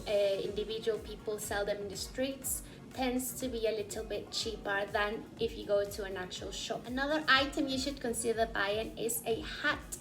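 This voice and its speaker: A young woman from Peru, chatting casually in a vlog and explaining things with frequent "uh" fillers. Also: fairly high pitch. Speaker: vlogger. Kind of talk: casual vlog recommending souvenirs.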